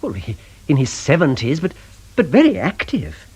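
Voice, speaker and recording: An actor playing a mild, apologetic vicar in a radio drama, stammering politely in a husky voice. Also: male voice, on the high side, huge pitch swings, moderate pace, a little mellow, full character voice. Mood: hesitant. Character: flustered, apologetic, mild-mannered male locum vicar.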